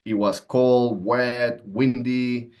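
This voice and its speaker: A man at a medium pitch. He talks conversationally as an interview guest, mixing explanation with personal storytelling. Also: fairly even intonation, very slow, darkish tone. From Venezuela. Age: 30s. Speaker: podcast guest, entrepreneur and coach.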